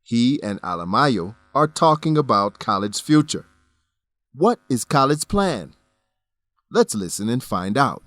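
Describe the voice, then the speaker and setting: A man reading the scripted narration and announcements for a radio drama for English learners, slowly and clearly. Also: medium pitch, big pitch swings, a bit bright, dry recording. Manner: slow, clear, measured.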